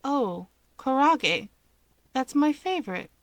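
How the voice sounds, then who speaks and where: A woman's voice: high-pitched, sing-song, slow, dry recording, pitched above the performer's own voice, full character voice. A woman voicing an earnest, innocent and socially awkward young boy in a story, speaking plainly and without guile. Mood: innocent delight.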